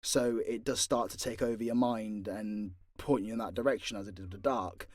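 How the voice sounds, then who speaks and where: A man's voice: on the low side, steady tone, moderate pace, a little mellow, no echo, clean studio sound. A British man in a casual, unscripted Q&A as an audio creator, talking bluntly and swearing freely. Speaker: audio drama creator answering fan questions.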